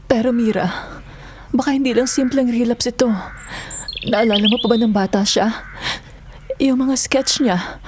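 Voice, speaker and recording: A woman's voice: a little high, fairly even intonation, measured pace, background noise, natural voice. A woman with a Filipino accent acting a worried, tearful middle-aged mother in an audio drama. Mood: anxious.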